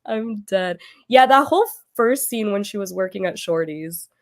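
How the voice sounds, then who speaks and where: A woman hosting a casual book club chat over a video call, losing her voice as she gets over an illness, chatty and quick to laugh. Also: medium pitch, lively intonation. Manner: chatty, laughs often, trails off.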